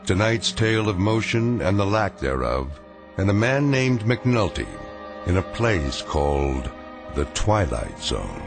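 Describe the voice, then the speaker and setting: A man acting as the grave, all-knowing narrator of an eerie radio drama, measured and portentous. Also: low voice, very animated, gravelly, full character voice. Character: grave omniscient male narrator of eerie tales. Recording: prominent music behind narration.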